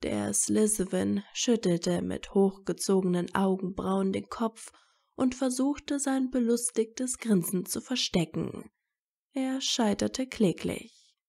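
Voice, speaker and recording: A woman reading scripted romantic fiction as a narrator in soft, measured storytelling. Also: mid-range voice, creaky.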